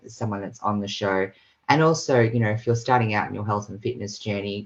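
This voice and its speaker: A woman's voice: extremely low pitch, highs cut off. A woman hosting a casual video call podcast, rambling through a long introduction with many fillers. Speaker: podcast host. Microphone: video call mic.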